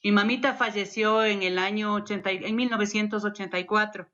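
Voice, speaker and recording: Muffled audio, lady chatting, affectionate and simple, medium pitch. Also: fairly even intonation, brisk, bright, forceful tone. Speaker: interview guest. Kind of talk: casual chat.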